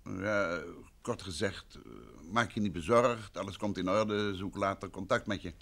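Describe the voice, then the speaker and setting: An actor playing a composed, probing detective husband in an old Dutch radio drama, speaking with polite, measured questions. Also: male voice, deep voice, steady tone, fairly bright tone, exaggerated voice. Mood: courteous, probing.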